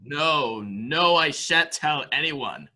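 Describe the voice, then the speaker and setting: A man's voice: a little high, animated, slow pace, pushed and bright, heavily acted. A man playing a childlike, dangerously unhinged killer in a murder mystery drama, boasting in a sing-song way with repeated phrases. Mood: boastful, sulky. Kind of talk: amateur murder mystery audio drama acting.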